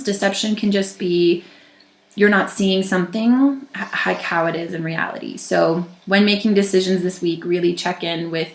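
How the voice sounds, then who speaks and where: A woman with a groggy voice giving a gentle, reassuring weekly tarot reading, pausing and restarting as she talks. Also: mid-range voice, echoey room. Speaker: tarot reader.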